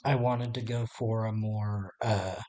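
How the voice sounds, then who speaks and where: A man with a raspy voice, chatting casually and hesitantly to his live-stream viewers while he draws. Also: lowish voice, fairly even intonation, a little slow, mellow, slight background noise. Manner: rambling and hesitant, talking to himself while working. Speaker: artist livestreaming his drawing. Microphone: desk microphone.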